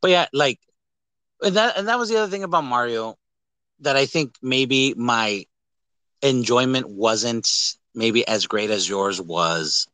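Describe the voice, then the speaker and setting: A man hosting a casual movie podcast, talking in an animated, rambling, jokey way with some swearing. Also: medium pitch, unhurried, dry recording. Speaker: podcast host. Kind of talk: casual movie review chat.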